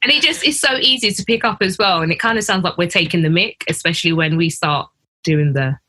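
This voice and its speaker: A woman's voice: fairly low pitch, fast talker, bright, forceful tone. A woman hosting a podcast interview, friendly and giggly, with lots of ums. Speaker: podcast host.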